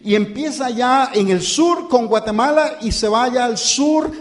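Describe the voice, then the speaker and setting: Un predicador de voz alta y tono coloquial habla a su congregación con pausas, titubeos y arranques repetidos. Su sermón suena informal, como una conversación con los hermanos. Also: male voice, very high voice. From born outside the United States. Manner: informal, halting, with many fillers and restarts; addresses listeners as brothers and "usted". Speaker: pastor. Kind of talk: conversational sermon.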